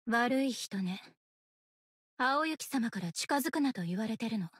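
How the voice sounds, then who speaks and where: A woman's voice: on the high side, lively intonation, a little slow, a bit bright, husky, no echo, studio quality, heavily acted. A woman voicing a devoted young female ninja in an anime game, earnest, terse and possessive of her master. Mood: cool, guarded. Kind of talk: Japanese anime game character voice lines.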